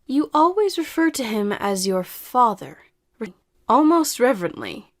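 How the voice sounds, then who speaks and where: A woman acting a patient, probing therapist who speaks in a measured, formal way in an audio drama. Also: on the high side, big pitch swings, full character voice. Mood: calm, coaxing.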